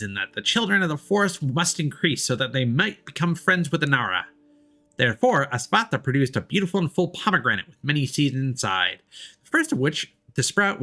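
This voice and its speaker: A man voicing a gentle forest spirit in a video game, reverently telling old lore. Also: fairly high pitch, full character voice. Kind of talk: reading game lore in character.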